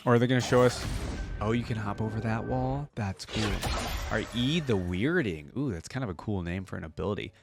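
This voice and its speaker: A man's voice: on the low side, a little fast, creaky, music in the background. A man giving casual reaction commentary, chatty and curious, thinking aloud as he goes. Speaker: reaction video host.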